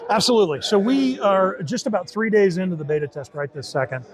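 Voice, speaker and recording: A man with a somewhat high voice walks through a software tool in an informal demo. He explains it conversationally, often repeating and rewording his points as he goes. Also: expressive, brisk, a crowd in the background. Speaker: software team member demonstrating a beta tool. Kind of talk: informal product demo in an interview.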